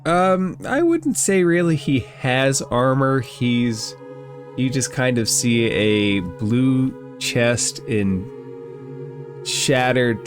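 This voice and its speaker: Male, game master, medium pitch, conversational, explanatory narration, background music. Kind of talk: narrating and refereeing a tabletop role-playing game.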